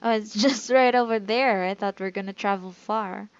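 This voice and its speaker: A woman's voice: mid-range voice, moderate pace. A woman giving casual commentary as she plays through a video game. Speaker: gamer playing through a game. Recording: dull lo-fi mic with faint noise.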